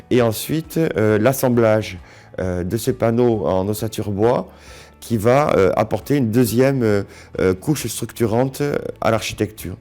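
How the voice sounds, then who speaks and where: Low, mellow man presenting over soft music. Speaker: architect. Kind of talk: explanatory project presentation.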